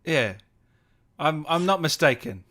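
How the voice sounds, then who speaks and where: A man's voice: fairly high pitch, expressive, measured pace, bright, forceful tone. A man hosting a casual streamed interview, talking informally and tagging his lines with little questioning asides. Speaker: host, interviewer.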